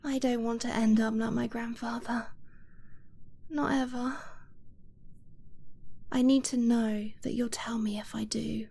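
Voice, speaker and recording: Woman playing a devoted dragon-blooded girlfriend, breathy and whispery, slow, hesitant, possessive yet insecure, affectionate ASMR roleplay. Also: a little high, heavily acted. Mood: earnest, pleading. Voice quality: soft, breathy, often slipping into whisper. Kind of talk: romantic ASMR roleplay, intimate and close.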